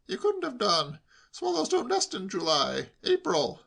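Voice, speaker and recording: A man voicing a breezy, inquisitive young English gentleman in a comic audiobook, facetious and persistent in his questioning. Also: sits high, wildly swooping pitch, unhurried, gravelly, voice pushed up, full character voice. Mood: incredulous, pedantic.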